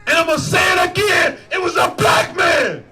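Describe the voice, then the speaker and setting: A man running for office, giving a fiery shouted protest speech at a rally with a repetitive, preacher-like cadence. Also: extremely high pitch, moderate pace, some chatter behind. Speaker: political candidate at a rally.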